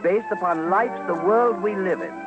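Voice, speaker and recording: Man, theatrical radio commentator, higher-pitched voice, grand measured phrasing, dramatic scripted narration, loud musical backing. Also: highs cut off. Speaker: commentator and announcer of a radio documentary. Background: loud background music.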